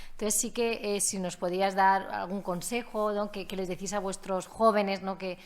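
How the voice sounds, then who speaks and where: A woman's voice: mid-range voice, fairly even intonation, moderate pace. A woman with a Spanish accent from Spain moderating a panel, asking questions with many hedging fillers.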